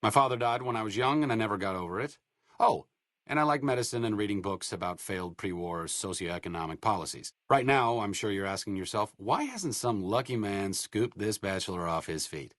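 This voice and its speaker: A bookish male doctor from a post-apocalyptic game, full of dry, self-mocking sarcasm. His voice sits a little low but rises and falls a lot as he teases. It is recorded close and dry, with no room sound. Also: animated, fairly quick, a bit bright, dry recording. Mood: wry. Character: sardonic bookish male wasteland doctor, adult. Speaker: game companion character. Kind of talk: video game voice acting.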